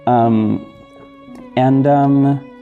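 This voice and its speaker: A man hosting a podcast, talking casually and apologetically to listeners in a halting, self-correcting, rambling way. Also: mid-range voice, steady tone. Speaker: podcast host and audio drama creator. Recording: dull lo-fi recording with music bed. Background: soft guitar backing music.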